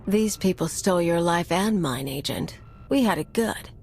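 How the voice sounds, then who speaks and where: An actress playing a vengeful, brazen anarchist bomber in a video game, with a sardonic, taunting manner. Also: female voice, lowish voice, animated, moderate pace, gravelly, darkish tone, breathy edge, full character voice. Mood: persuasive, intense. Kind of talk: video game cutscene acting.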